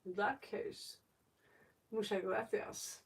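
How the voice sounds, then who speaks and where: A woman talks slowly through a casual tarot livestream, with plenty of fry in her voice. She rambles and thinks aloud as she reads. The microphone sounds some way off from her. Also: mid-range voice, fairly even intonation, slight background noise. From Norway. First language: Norwegian. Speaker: tarot reader, livestream host. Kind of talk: casual live tarot reading, chatting. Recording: distant microphone.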